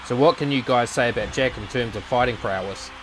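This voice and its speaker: A man playing a cocky young fighter with a dark, twisted side in a visual novel. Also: medium pitch, fairly quick, natural voice, rough recording. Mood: calm, reflective. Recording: voice over background music.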